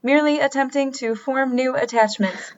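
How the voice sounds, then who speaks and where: An earnest artificial female being from a radio drama, acted by a woman, speaking in a higher register. She is formal and literal, emotionally detached. The recording is slightly dull. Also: moderate pace. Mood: polite, curious. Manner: formal, literal, uncontracted phrasing. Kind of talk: audio drama acting.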